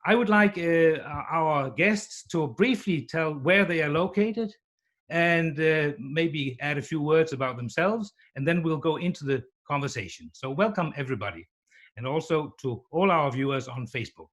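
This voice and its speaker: A man hosting and moderating an online panel discussion, introducing guests in a measured, welcoming way. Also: on the high side, lively intonation, fairly bright tone. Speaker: host, moderator.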